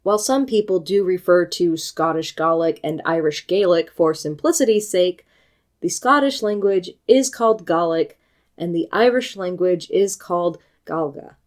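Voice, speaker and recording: An American woman reading a scripted book review video essay in a measured, articulate and critical manner. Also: on the low side, a little mellow. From United States.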